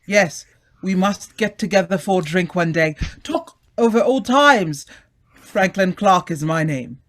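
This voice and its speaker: A man playing an affable, self-assured gentleman veteran in an old-time radio drama, polite and sociable. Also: high voice, lively intonation, unhurried.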